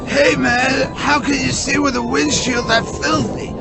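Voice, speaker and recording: Laid back squeegee hustler, slangy, slow high voice, radio drama w music under. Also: male voice, fairly even intonation. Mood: casual, evasive. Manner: casual, slangy.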